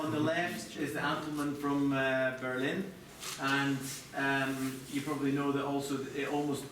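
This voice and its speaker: A man's voice: medium pitch, fairly bright tone, recorded from a distance. A middle-aged Scottish man giving a live presentation as a designer, in a conversational, hesitant way with restarts. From Scotland. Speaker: chief TV product designer presenting. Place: echoey presentation room with audience. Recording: echoey room from afar, clear background noise.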